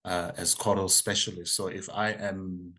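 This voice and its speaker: A South African man in his forties, whose first language is isiZulu, answers interview questions in a somewhat low voice. He talks thoughtfully and haltingly, stumbling over and repeating words as he thinks. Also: flat delivery, pushed and bright. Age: 40s. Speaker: choral conductor and composer, interview guest.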